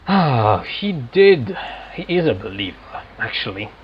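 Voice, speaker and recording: A man hosting a gaming playthrough, commenting casually in a halting way as he searches for words. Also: medium pitch, big pitch swings. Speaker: gaming video host. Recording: dull sound, faint background noise.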